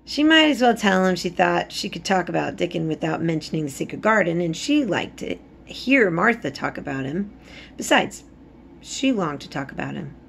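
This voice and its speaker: A woman's voice: on the low side, very animated, moderate pace, vocal fry. A woman, reading a storybook aloud expressively like a teacher. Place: small room indoors. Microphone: phone at arm's length. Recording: faint room noise.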